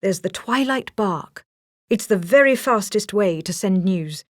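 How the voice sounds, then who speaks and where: A woman's voice: medium pitch, lively intonation, unhurried, no room sound, studio quality, natural voice. A woman voicing an earnest, determined father dog in a storybook.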